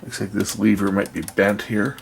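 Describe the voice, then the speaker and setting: A man's voice: fairly low pitch, level tone, moderate pace. A man giving unscripted hands-on commentary as a repair video host, thinking aloud and often trailing off or questioning himself. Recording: clear background noise, room ambience.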